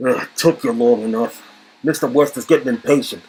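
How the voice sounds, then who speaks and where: A man playing a gruff, impatient guard who orders prisoners around in a curt, husky voice. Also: mid-range voice, a little fast, darkish tone, lightly acted, low-quality recording.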